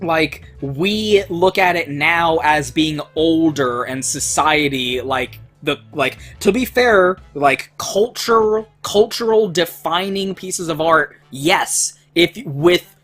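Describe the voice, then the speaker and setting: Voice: mid-range voice, slow pace, few pauses, fairly bright tone, soft background music. A co-host of a gaming video, chatting casually, swearing often and ranting with heat about art and culture. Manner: swears a lot, rants with heat. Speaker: let's play co-host. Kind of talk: casual chat while gaming.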